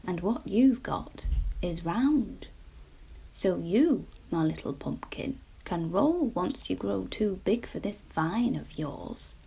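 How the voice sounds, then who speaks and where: A woman putting on a kindly old-fashioned earthworm who talks slowly and reassures. His voice is soft and breathy, unhurried and folksy. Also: fairly low pitch, lively intonation, heavily acted, rough recording. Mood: wise. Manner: slow, folksy, gently reassuring.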